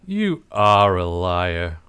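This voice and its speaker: A man performs a tough private eye in an old-time radio drama. His voice is smooth and clear, with animated pitch swings. He talks in casual, clipped wisecracks with a cynical edge. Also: drawn-out words, full character voice. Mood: sardonic.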